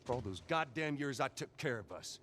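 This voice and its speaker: A man's voice: medium pitch, expressive, fairly bright tone, a little hiss or hum, close to an everyday voice. An actor playing a hardened middle-aged male survivor, blunt and demanding with his younger brother. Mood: angry. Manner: blunt, pushy, quick to anger.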